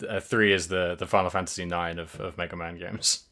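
A man's voice: low-pitched, little pitch movement, fairly quick, sharp tone. A man co-hosting a podcast, chatting casually in a rambling, hesitant way with lots of fillers and restarts. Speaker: podcast co-host. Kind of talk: casual chat.

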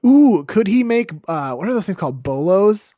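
A man's voice: high voice, sing-song, moderate pace, warm tone, dry recording. Man in his late twenties, rambling brainstorm. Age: late 20s. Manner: rambling, thinks aloud with restarts. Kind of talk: casual brainstorming chat.

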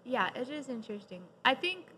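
A woman's voice: high-pitched, sing-song, brisk, sharp tone, a little hiss or hum. Chatty woman with many fillers. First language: English. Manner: hesitant, many fillers, laughs often. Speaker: guest. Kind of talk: casual podcast conversation.

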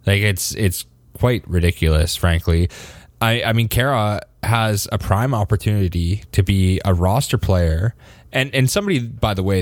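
Low-voiced, easygoing podcast co-host. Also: male voice, dry recording, clean studio sound. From Canada. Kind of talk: casual sports podcast chat.

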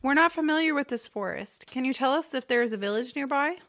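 A woman playing an earnest, noble female traveller in a family fantasy audio drama, speaking formally and gravely. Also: high-pitched, expressive, fast talker, a bit bright. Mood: measured.

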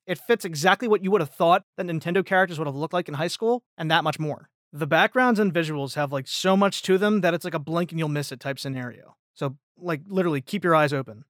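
Male guest voice actor, high-pitched, casual and animated, very dry close sound. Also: no room sound. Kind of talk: casual chat.

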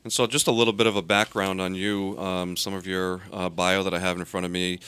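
A man co-hosting an interview show, asking questions in a measured way and reading the guest's background. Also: deep voice, flat delivery, pushed and bright, clean tone. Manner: measured, reads background notes. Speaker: show co-host.

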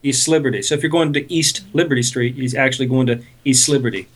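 A man's voice: mid-range voice, steady tone, fast. A man from Pittsburgh co-hosting a podcast with casual, rambling comedic banter. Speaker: podcast co-host.

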